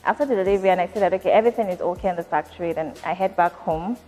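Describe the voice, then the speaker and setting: Nigerian businesswoman, low voice, reverberant hall. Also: dark tone, faint music behind. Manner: measured, thoughtful, with frequent "um" fillers. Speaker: company founder and CEO. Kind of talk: interview answers to an off-camera interviewer. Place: factory floor, indoors.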